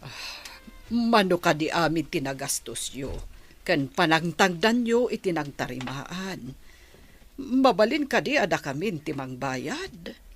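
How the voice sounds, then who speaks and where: A woman's voice: low voice, big pitch swings, a little slow, faint music behind. A woman playing a humble, protective mother who pleads for her child in a radio drama. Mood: anxious pleading. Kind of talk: Ilocano radio drama acting.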